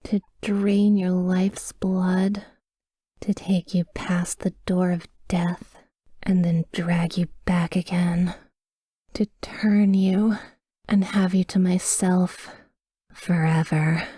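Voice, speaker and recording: Slow, whispering woman as a tender vampire lover. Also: on the low side, little pitch movement, no echo, studio quality. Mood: possessive, tender. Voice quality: breathy, whispered. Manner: slow, hushed, intimate delivery. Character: tender, tormented female vampire lover watching over her sleeping partner. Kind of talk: whispered ASMR roleplay.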